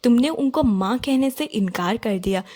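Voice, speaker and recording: A woman's voice: a little high, lively intonation, normal speed, dark tone. A woman playing a principled, indignant young city wife in a cartoon moral story, earnest and confronting, mixing in English. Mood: reproachful. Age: young adult.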